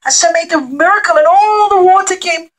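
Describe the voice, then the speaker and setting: A woman with a high voice leads a puppet show for children. She narrates in simple phrases with strong emphasis. She speaks to her young listeners as an encouraging teacher. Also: a little fast.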